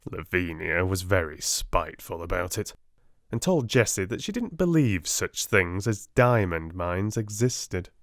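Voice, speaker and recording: A man with a British accent giving a soft-spoken storybook narration as an audiobook reader. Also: low voice, expressive, a little slow, no room sound, clean studio sound.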